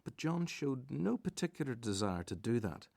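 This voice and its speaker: A man's voice: mid-range voice, very animated, moderate pace, gravelly, darkish tone, no room sound. A man narrating a scripted radio drama as a storyteller.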